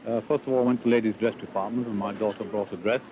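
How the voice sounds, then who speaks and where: An older man from Northumberland, a shopper answering interview questions in a formal, measured way. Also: on the low side, fairly even intonation. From Northumberland, England. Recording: muffled 1950s newsreel, noisy shop floor.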